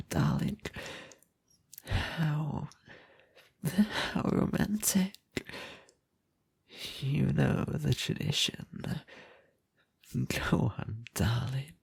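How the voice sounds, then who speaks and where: A man playing an obsessive, menacing, lovesick kidnapper in an ASMR roleplay, with a breathy, often whispered voice and a halting, intimate, doting delivery. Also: medium pitch, a little mellow, heavily acted. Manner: slow, halting, intimate, doting yet threatening.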